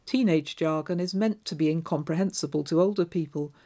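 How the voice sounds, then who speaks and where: A woman from Devon, reading scripture and preaching a sermon in a measured, clear way. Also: sits low, warm tone. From Devon, England. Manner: measured, clear liturgical delivery.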